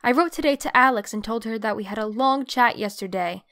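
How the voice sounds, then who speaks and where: A woman acts a devout young grand duchess in an audio drama. Her voice is fairly high, smooth and clear, and she reads her letter with earnest, confiding affection. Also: fairly quick, fairly bright tone. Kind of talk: dramatic reading of a personal letter.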